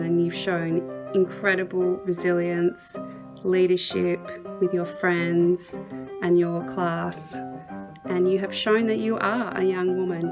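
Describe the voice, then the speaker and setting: A woman gives a heartfelt tribute as a mother. Her voice sits a little low and moves very slowly, phrase by phrase. Plucked guitar plays clearly behind her. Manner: slow, deliberate phrasing.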